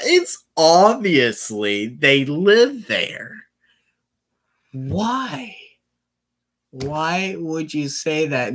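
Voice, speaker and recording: A game streamer with a mid-pitched voice delivers live commentary at a very slow, halting pace. The pitch swings widely, and the talk is exclamatory, full of swearing and repeated phrases. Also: a bit bright. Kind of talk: live visual novel playthrough commentary, reading lines aloud.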